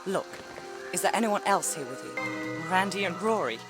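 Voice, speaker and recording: A woman's voice: lowish voice, lively intonation, normal speed, over music. An actress playing a cool, self-assured female artifact hunter with a dry, probing manner, in a video game cutscene. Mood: curious, firm.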